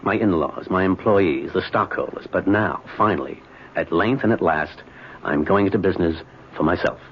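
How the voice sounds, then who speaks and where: A man's voice: low-pitched, normal speed, close to an everyday voice. An actor playing a weary, evasive middle-aged businessman in an old-time radio drama, speaking in a hesitant, pleading way. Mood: weary, resolute. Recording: muffled, noisy vintage radio recording.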